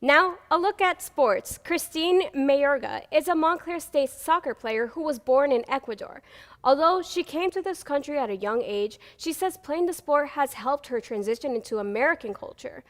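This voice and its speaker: A young woman anchoring a student newscast in a bright, upbeat scripted delivery. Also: sits high, animated, moderate pace, long reverb tail. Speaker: news anchor.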